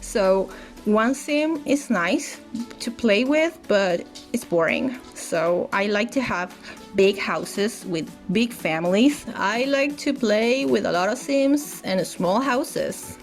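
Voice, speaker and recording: A woman's voice: medium pitch, expressive, takes it slowly. A young woman whose first language is Spanish, chatting casually as a gaming YouTuber with frequent restarts and filler words. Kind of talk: casual voice-over chat. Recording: faint music underneath.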